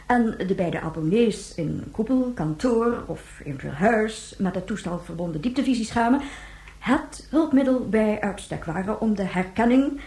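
Actress as a stately woman president, lively pitch, roomy echo. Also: natural voice. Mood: composed, official. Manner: official, measured, explanatory. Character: composed, formal female president of a moon colony. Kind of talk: radio play acting as a news interview. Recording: roomy, echoing sound.

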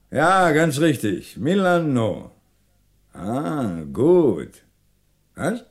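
Deep, animated police inspector. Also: male voice, husky, heavily acted. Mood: brisk, intrigued. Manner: brisk, teasing, probing. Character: male police inspector, teasing, shrewd, commanding. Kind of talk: German radio crime drama acting.

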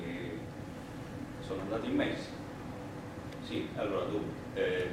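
An actor playing a proud, defiant Italian immigrant worker in a theatrical drama, speaking with an Italian accent. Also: male voice, on the low side, natural voice. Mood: hesitant, plain. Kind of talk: theatrical declamation and courtroom testimony. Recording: very echoey, far-off mic, noisy stage recording.

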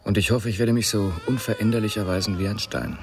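An actor playing a cool, frank male friend in a radio drama, with a gravelly, husky voice and a measured manner. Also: lowish voice, steady tone, a little mellow, a little hiss or hum, natural voice. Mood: reserved. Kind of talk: German radio drama acting.

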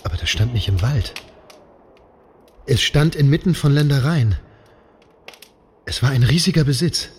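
An actor playing a proud aristocratic landowner who hunts mutants, with a pompous, grand manner, in a radio drama. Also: male voice, medium pitch, lively intonation, moderate pace, long pauses, darkish tone, breathy edge. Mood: wistful.

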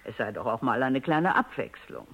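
A woman's voice: extremely low pitch, big pitch swings, moderate pace, a bit bright, husky, exaggerated voice. An actress playing a dryly ironic elderly woman scientist, speaking precisely in a radio drama. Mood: wry reminiscing. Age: older. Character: sharp, dryly ironic elderly woman robot psychologist, reminiscing. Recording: dull vintage radio recording.

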